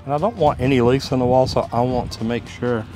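A man's voice: mid-range voice, steady tone, fairly quick, warm tone. A man, explaining a hands-on job in a casual, folksy way as a plumber hosting a tutorial. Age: 50s to 60s. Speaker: plumber and video host. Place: outdoors beside a brick house. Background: music.

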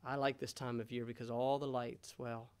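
An American man in his thirties preaching a sermon as a pastor in a measured, pausing way. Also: mid-range voice, flat delivery, darkish tone, clear, resonant tone. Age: 30s. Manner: measured, pausing, rhetorical questions. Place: church sanctuary, indoors. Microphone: gooseneck pulpit microphone.

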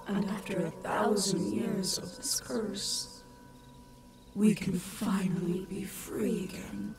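A woman's voice: medium pitch, creaky. A woman voicing an ancient whispering tree spirit. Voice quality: hushed whisper. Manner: slow, solemn and blessing. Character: ancient female tree spirit, solemn and kind. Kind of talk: audio roleplay acting. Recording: loud music bed behind the voice.

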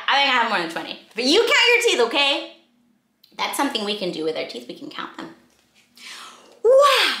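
Animated, high-pitched woman hosting children's storytime. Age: young adult. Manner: expressive, exaggerated, addressing children with questions and gasps. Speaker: children's read-aloud host. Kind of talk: interactive storytime read-aloud for young children. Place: small indoor room at a desk. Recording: roomy indoor echo.

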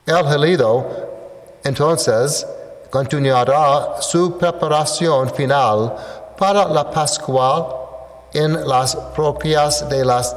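A man in his sixties, a priest, reading the gospel and preaching at Mass into a lectern mic in a church. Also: medium pitch. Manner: slow, deliberate reading from a book. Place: church sanctuary, indoors. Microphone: stand mic at a lectern. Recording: reverberant church sound.

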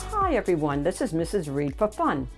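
An older woman reads a children's picture book aloud in an animated, deliberate storyteller's manner. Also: fairly low pitch, over music. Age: older, 60s or 70s. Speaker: children's storybook reader and host.

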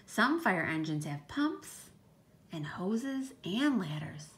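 A woman's voice: mid-range voice, big pitch swings, takes it slowly. A woman teacher reading a picture book to young children, speaking in an emphatic, child-directed way with questioning asides. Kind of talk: read-aloud storytime with explanatory asides.

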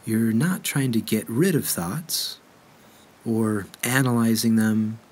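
A man leading a guided meditation in a gentle, unhurried way with measured pauses. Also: lowish voice, slightly rough.

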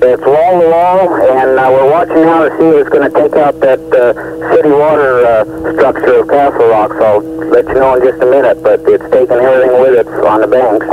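A man's voice: mid-range voice, fairly even intonation, a little fast, hardly any pauses, narrow, tinny audio, noisy background. A man giving an urgent field report over a two-way emergency radio, an archive recording from 1980.

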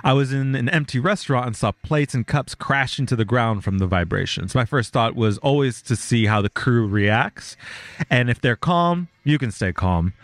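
A man's voice: mid-range voice, normal speed, no room sound. A male podcast co-host casually reading out stories, swearing freely and laughing often.

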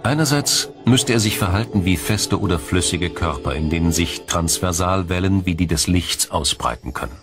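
A man with a low-pitched voice reads scripted documentary narration. His delivery is formal and measured, laying out explanations step by step. Music plays behind his voice. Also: lively intonation.

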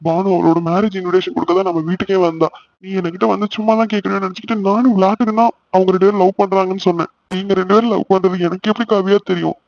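Protective big brother for family drama male actor: highish voice, rapid, earnest pleading, muffled lo-fi sound. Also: darkish tone, close to an everyday voice. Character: protective, emotional young adult older brother pleading with family. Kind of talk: melodramatic family audio drama.

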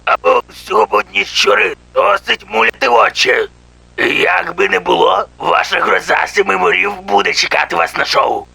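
A man voicing a boastful pirate fox animatronic in a fan-dubbed horror cartoon, gravelly and theatrical, drawing out his words. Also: sits high, expressive, normal speed, bright, forceful tone, exaggerated voice. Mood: gruff, commanding. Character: boisterous male pirate fox animatronic showman, theatrical braggart.

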